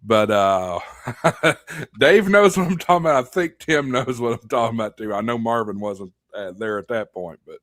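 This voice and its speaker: A man's voice: medium pitch, lively intonation, moderate pace, a bit bright, dry recording, studio quality. A man from Tennessee chatting casually, with folksy, joking banter.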